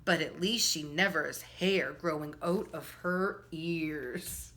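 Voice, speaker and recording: A woman's voice: low-pitched, a bit bright. A woman hosting a children's storytime, reading a picture book aloud expressively and speaking directly to young listeners. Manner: slow, addresses children directly, storyteller phrasing. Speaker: children's storytime host and reader.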